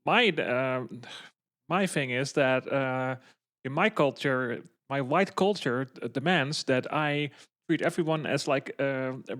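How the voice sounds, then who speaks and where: A man whose first language is Dutch, a podcast guest speaking in casual chat with halting starts and restarts. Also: mid-range voice, a bit bright. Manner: hesitant, halting, many restarts.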